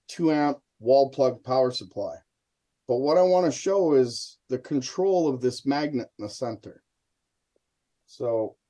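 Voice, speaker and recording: Casual man with a mellow, darker tone. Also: mid-range voice. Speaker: hobby experimenter, guest presenter. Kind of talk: casual live demonstration on a video call. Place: indoor room, video call.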